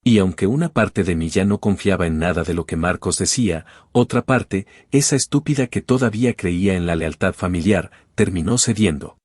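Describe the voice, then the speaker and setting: Male storyteller, low husky tone, steady read, dry booth sound. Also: moderate pace, few pauses, dry recording. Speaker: story narrator. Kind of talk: scripted first-person narration.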